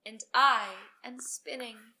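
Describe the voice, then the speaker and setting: A woman's voice: fairly high pitch, takes it slowly, sharp tone, full character voice. A woman voicing a lonely, uncomplaining old spinner in a storybook, speaking plainly and patiently.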